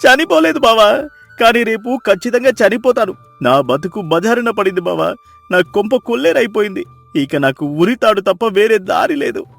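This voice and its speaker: Guy playing a poor crafty villager in a storybook, theatrical, high voice, dry sound. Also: lively intonation, normal speed, vocal fry, fairly bright tone, dry recording, faint music behind, full character voice. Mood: wailing, desperate. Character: crafty poor village man feigning despair.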